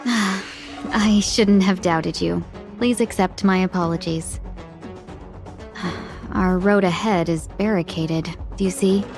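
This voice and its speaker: A woman's voice: on the low side, fairly even intonation, takes it slowly, a little mellow, faint music behind. A woman playing a courteous, playful young fox-tailed merchant in a video game, speaking with polite, coaxing formality. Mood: apologetic, coaxing. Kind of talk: English video game voice acting.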